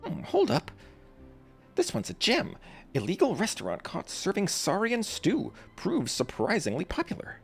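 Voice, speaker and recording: A man's voice: sits high, huge pitch swings, moderate pace, vocal fry, breathy edge, soft background music, voice pushed up, exaggerated voice. A man voicing a chatty young female reporter in a video game, with a breezy, dramatic manner. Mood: playful. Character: young female tabloid-savvy reporter, chatty, theatrical, earnest.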